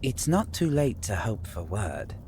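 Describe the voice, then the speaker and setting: A man playing a cautious, placating royal counselor in a fantasy audio drama, speaking in a measured manner. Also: lowish voice, sing-song, a little mellow, slight background noise. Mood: cautious, hopeful.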